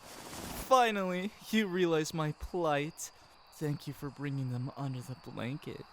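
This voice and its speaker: A man's voice: on the high side, unhurried. Tender man's romantic ASMR roleplay, noisy ambience. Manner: tender, teasing, affectionate. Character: tender, teasing boyfriend stargazing with his partner. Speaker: amateur audio roleplay performer. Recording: clearly noisy, ambience behind voice. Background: ambient background sound.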